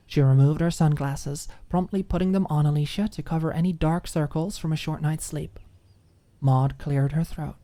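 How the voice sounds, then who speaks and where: A woman's voice: low voice, warm tone, dry recording. A woman narrating a scripted story in an ASMR audiobook style.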